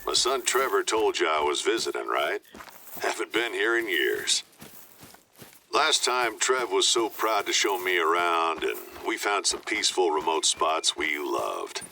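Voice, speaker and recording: Deep, bright-voiced aging outdoorsman. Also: animated, unhurried, creaky, a little hiss or hum, exaggerated voice. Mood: nostalgic, friendly. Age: older. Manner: folksy, reminiscing. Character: aging father and old friend, nostalgic, friendly outdoorsman. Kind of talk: scripted video game dialogue.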